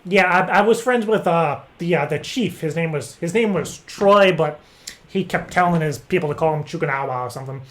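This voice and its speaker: A man's voice: a little high, a little fast, a bit bright. Hesitant, rambling male prank caller. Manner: hesitant, rambling, feigned earnestness. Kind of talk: improvised phone prank chat.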